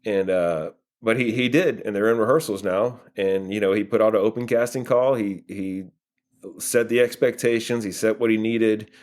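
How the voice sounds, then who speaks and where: American guy with a lowish voice, chatting in an interview, kinda halting, working it out as he talks. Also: darkish tone. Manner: halting, thinking aloud. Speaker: guest playwright and filmmaker. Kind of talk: casual interview answers.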